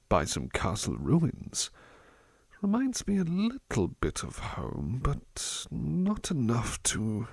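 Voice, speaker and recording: A man playing a gentle, doting, courteous butler in a softly spoken ASMR roleplay, with tender, polite and somewhat hesitant delivery. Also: mid-range voice, gravelly, slightly rough, no room sound, exaggerated voice.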